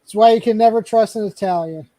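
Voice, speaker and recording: A man's voice: high voice, warm tone, no echo. A man hosting a casual livestream chat, joking around, laughing often and stumbling over his words. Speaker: streamer host.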